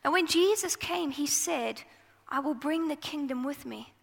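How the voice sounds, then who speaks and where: Gravelly high South African woman preaching. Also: unhurried, in a large hall. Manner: conversational storytelling preaching. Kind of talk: sermon. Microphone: microphone.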